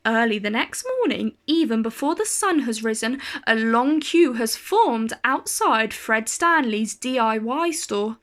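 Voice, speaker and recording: High-voiced woman reading a children's story. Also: expressive, a little slow, hardly any pauses, a bit bright. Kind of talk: expressive children's story read-aloud.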